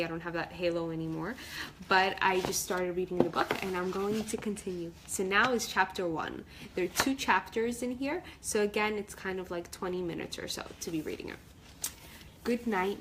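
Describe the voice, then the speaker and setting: A woman's voice: medium pitch, slight background noise, rough recording. A woman storyteller reading a children's book and chatting casually on a livestream. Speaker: author and storyteller.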